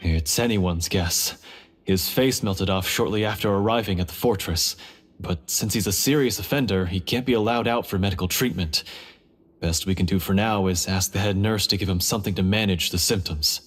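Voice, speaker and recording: An actor playing a plain-spoken prison guard in a video game, informal and blunt. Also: male voice, sits low, moderate pace. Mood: worried, chatty.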